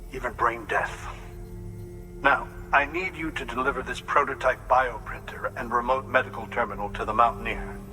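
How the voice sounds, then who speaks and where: An actor playing a serious, precise doctor in a video game, speaking in a formal, explanatory manner. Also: male voice, mid-range voice, sharp tone, rough recording. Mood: grave, urgent. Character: serious, precise male doctor briefing a courier. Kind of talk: scripted game dialogue.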